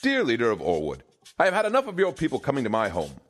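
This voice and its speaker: A man's voice: mid-range voice, huge pitch swings, fast. A man playing a pompous, petulant, foolish king in a comedic fantasy audio drama, blustering with theatrical indignation. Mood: pompous, aggrieved.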